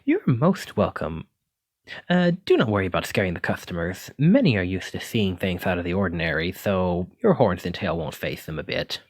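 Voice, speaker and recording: A man's voice: low-pitched, very animated, normal speed, slightly rough, dry recording, clean studio sound, heavily acted. A man voicing a clumsy, kindly wizard in an ASMR roleplay, courteous and apologetic, stammering when flustered. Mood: warm, reassuring. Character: clumsy, kindly wizard bookseller teaching magic. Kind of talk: ASMR roleplay addressed to listener.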